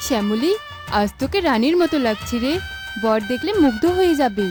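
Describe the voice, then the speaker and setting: A woman acting an earnest, dutiful woman of a family household in an animated moral story, explaining herself. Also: a little high, fairly quick, lightly acted. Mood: affectionate. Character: dutiful Bengali sisters-in-law and mother, women of a household. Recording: music behind the voice.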